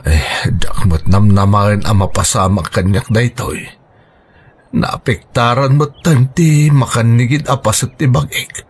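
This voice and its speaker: A man's voice: mid-range voice, measured pace, slightly rough. A man playing a weakened, worried husband in a radio drama, speaking with an Ilocano accent. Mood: worried, weary. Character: Roman, weakened husband recovering from stroke. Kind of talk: Filipino radio drama acting. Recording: music bed under dialogue. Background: music.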